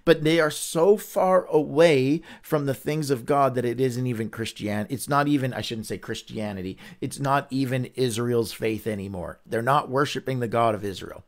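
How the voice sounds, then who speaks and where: A man's voice: medium pitch, normal speed, hardly any pauses. Earnest candid man leading Bible study. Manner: earnest, candid, conversational teaching; rambling with frequent restarts. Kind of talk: livestreamed Bible reading and discussion.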